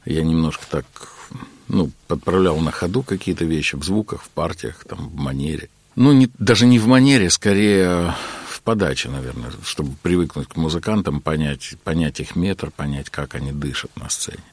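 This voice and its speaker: Very deep, husky-voiced male storyteller. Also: a little mellow, no echo. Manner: unhurried anecdotal telling, with many filler words. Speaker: interview guest, keyboard player. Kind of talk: storytelling in a conversational interview.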